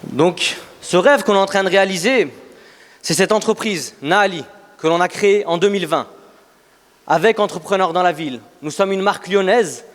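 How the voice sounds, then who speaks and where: A man in his thirties giving a motivational startup pitch on stage, emphatic, repeating phrases for effect as he tells a story. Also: moderate pace. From Afghanistan. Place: large event hall, on stage. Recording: echoey hall with long reverb.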